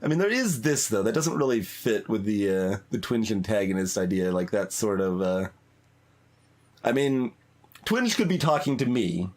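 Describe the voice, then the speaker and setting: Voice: mid-range voice, lively intonation, normal speed. A video game streamer giving casual playthrough commentary, musing and hesitant as they think aloud. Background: game music.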